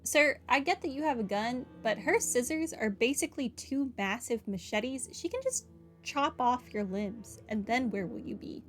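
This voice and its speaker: A woman's voice: a little high, lively intonation, normal speed, faint music behind. A woman giving casual playthrough commentary as a gaming host, in wry, offhand asides.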